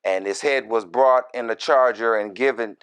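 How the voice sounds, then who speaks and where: A man who is a minister reads scripture verse by verse and explains it in deliberate, broken short phrases with folksy, informal asides. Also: mid-range voice, a bit bright, smooth. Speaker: minister, Bible teacher.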